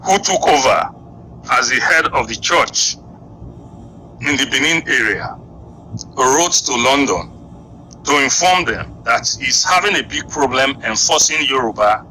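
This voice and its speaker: A Nigerian man lectures on history at an unhurried, measured pace. His voice is very bright and forceful, and he lays out each point deliberately. A faint hiss of noise sits under the recording. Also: medium pitch, low-quality recording. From Edo, Nigeria. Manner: slow, deliberate lecturing with long pauses. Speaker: guest historian. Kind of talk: explaining history in an interview.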